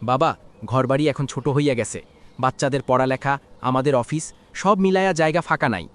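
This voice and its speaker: An actor playing a grown son in a cartoon, persuasive and self-justifying, with a Bangladeshi Bengali accent. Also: male voice, medium pitch, brisk, slight background noise, natural voice. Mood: coaxing. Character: grown son coaxing his father to leave home. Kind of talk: cartoon voice acting.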